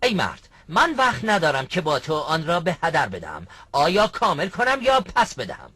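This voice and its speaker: A man voices a greedy cartoon merchant who cheats on his scales. The voice is husky and sharp-edged, with wild swings in pitch as he bellows his market cries. He sounds pushy and quick to bristle when challenged. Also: wildly swooping pitch, no room sound, heavily acted. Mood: irritated. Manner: loud hawking market cries. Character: greedy cheating male grain merchant, defensive. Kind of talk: dubbed cartoon acting.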